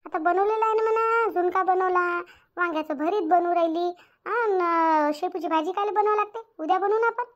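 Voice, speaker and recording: A woman's voice: extremely high pitch, a little fast, lo-fi sound. A woman voicing an outspoken, grumbling young daughter-in-law in a Marathi cartoon, with a rural Varhadi accent. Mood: indignant complaining. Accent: rural Maharashtra, Varhadi Marathi. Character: indignant young village daughter-in-law, outspoken, complaining, caring. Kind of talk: domestic cartoon story dialogue.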